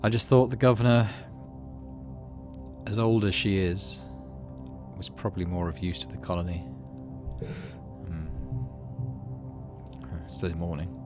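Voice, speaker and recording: A man's voice: low-pitched, level tone, measured pace, long pauses, loud music behind the voice. A middle-aged British man hosting a gaming video, reading story text aloud and adding casual commentary.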